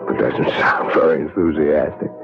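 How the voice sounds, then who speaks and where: An American actor playing a devoted new husband in a 1970s radio drama, tender, coaxing and emphatic. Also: male voice, rumbling low, level tone, normal speed. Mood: tender, reassuring. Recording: dull old radio recording, music behind.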